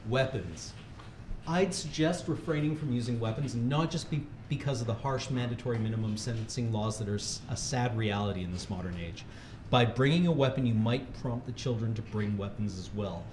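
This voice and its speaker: A man reads a comic how-to piece aloud with mock-serious authority. His voice sits at an average male pitch. The room is echoey, with faint noise behind him. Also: a little fast, darkish tone. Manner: mock-serious instructional delivery; often breaking into laughter. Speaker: reader at a charity livestream. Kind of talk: comedic reading of a humor article aloud.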